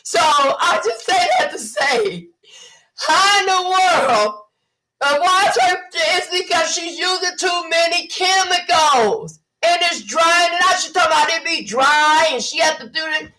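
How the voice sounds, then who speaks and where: A woman's voice: extremely high pitch, lively intonation, measured pace, pushed and bright, rough recording. A woman, hosting a casual livestream and talking bluntly with mocking impressions. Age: 50s. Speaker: livestream host.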